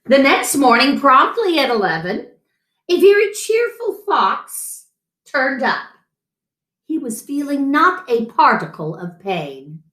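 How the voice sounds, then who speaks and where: A woman's voice: high voice, big pitch swings, slow pace, fairly bright tone. A middle-aged woman reading a children's picture book aloud as a story time host, in a room at home. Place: small room at home, indoors. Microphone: camera mic a few feet away. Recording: roomy echo.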